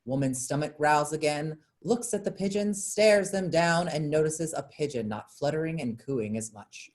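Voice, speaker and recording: A woman's voice: deep voice, moderate pace, a little mellow. A woman reading scripted stage directions as narration for a play.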